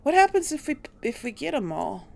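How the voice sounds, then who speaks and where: A woman's voice: high voice, big pitch swings, a little fast, gravelly, a little mellow. A woman doing casual video game commentary, musing aloud and questioning herself as she plays. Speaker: let's play host.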